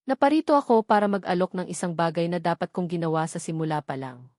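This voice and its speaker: A woman's voice: fairly low pitch, very animated, quick pace, darkish tone, no room sound. Woman acting as penitent wealthy young man, solemn, resolute, storybook romance, very dry recording. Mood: solemn determination. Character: remorseful, earnest, determined young billionaire man in love.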